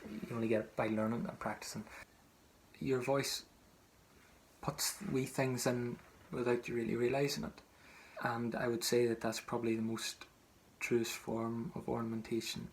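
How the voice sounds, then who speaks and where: A man's voice: mid-range voice, flat delivery, normal speed, slight background noise, poor audio. A man with a Northern Irish accent from Tyrone gives an informal, conversational lesson as a singing teacher, explaining as he goes. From County Tyrone, Northern Ireland.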